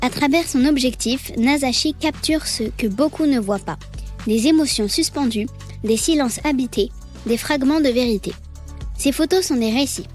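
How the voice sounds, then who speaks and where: A woman podcast host reading a scripted introduction in a measured, poetic way and asking interview questions. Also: sits high, a little mellow, faint music behind.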